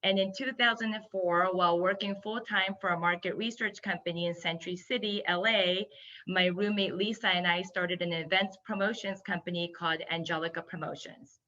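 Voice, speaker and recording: Female guest speaker for a webinar, Japanese native, bright and enthusiastic delivery, slightly muffled online-call sound. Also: fairly low pitch, steady tone, fairly quick. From Yokohama, Japan. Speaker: guest speaker, hospitality entrepreneur. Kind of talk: webinar presentation. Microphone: video call.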